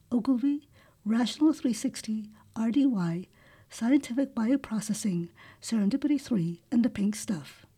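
A woman's voice: on the high side, mellow. A woman hosting an interview show, reading a prepared introduction and then asking questions. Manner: reads intro smoothly, then short friendly prompts. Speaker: podcast host.

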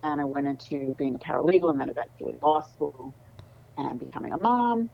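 A middle-aged woman from New City, a lawyer chatting casually as an interview guest, relaxed with many ums and laughs. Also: low voice, a little fast, gravelly, lo-fi sound. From New York City.

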